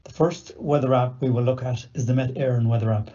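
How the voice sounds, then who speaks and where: A man's voice: medium pitch, fast, warm tone. A man giving scripted instructional narration in a methodical, matter-of-fact way. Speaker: video demonstrator. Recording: dull lo-fi recorded video audio.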